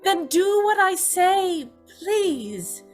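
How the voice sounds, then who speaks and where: A woman's voice: very high voice, sing-song, extremely slow, soft background music, full character voice. A woman playing a tormented, pleading young woman, tearful and melodramatic in an old-time radio drama. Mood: tearful begging. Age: young adult. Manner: tearful, breathlessly emotional, melodramatic.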